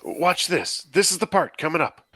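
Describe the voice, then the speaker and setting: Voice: mid-range voice, expressive, normal speed, sharp tone, no echo. A performer reading the part of an earnest, anxious teenage boy in a film script, with an urgent manner. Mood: curious. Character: earnest, anxious seventeen-year-old boy time traveler. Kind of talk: table read of a film script.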